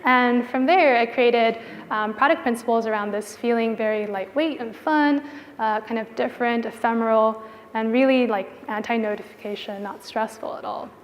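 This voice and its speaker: A woman's voice: on the high side, moderate pace, muffled recording. A woman giving a conference presentation as a product designer, talking in a casual, conversational way with informal filler phrases. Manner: casual, informal phrasing with frequent "like" and "you know". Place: indoor conference venue. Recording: reverberant hall with long echo.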